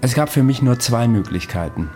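A man's voice: mid-range voice, lively intonation, brisk, mellow, faint music behind, close to an everyday voice. An actor in a German radio drama playing an ageless, worldly-wise man who recounts his past in a dry, matter-of-fact way. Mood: dry, weary recollection.

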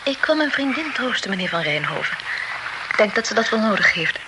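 A woman's voice: medium pitch, very animated, brisk, lightly acted. An actress playing Suzanne, a frank young woman who likes to tease, in a radio drama. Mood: cool. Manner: teasing, playful. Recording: noisy old radio broadcast.

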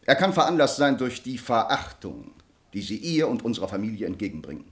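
Offended nobleman, stern n formal, very animated pitch swings, radio drama challenge vibe. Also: fairly quick. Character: proud, indignant nobleman defending his sister's honour. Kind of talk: classical radio play acting.

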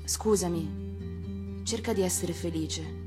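A woman playing a gentle, earnest young prince in a storybook, speaking in a simple, confiding manner. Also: on the low side, slow, over music. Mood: sad farewell.

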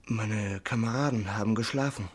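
An actor playing a haunted, guilt-ridden young sailor, halting and anguished, in a 1950s German radio play. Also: male voice, lowish voice, slightly rough. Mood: ashamed, anguished.

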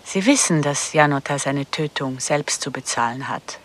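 An actress playing a businesslike female contract killer in a radio play, measured, probing and matter-of-fact. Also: deep voice, lightly acted. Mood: cool, businesslike.

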